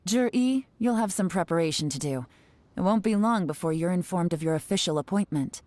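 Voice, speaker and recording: An actress playing a composed female spymaster in a game, calm and crisp as she gives orders. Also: lowish voice, fairly quick, close to an everyday voice. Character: cool, commanding female spymaster. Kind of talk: game dialogue.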